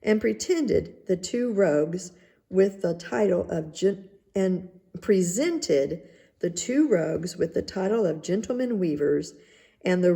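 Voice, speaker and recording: A woman reading a children's tale aloud as narrator, in a measured, deliberate way. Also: lowish voice, vocal fry, mellow. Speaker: story reader. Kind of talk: scripted storybook narration.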